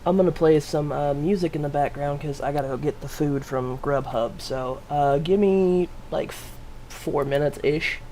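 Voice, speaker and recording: A man's voice: on the high side, moderate pace, dark tone, slight background noise. Young man hosting a casual podcast. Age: 20s. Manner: loose, profane, jokey storytelling. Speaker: podcast host. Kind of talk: casual chat.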